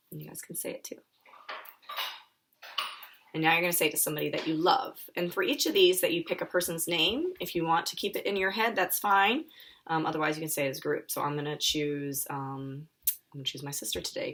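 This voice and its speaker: A woman, an author, reading her children's picture book aloud and talking it through for a young audience, with expressive delivery. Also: low voice. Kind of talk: live children's story time reading and friendly explanation.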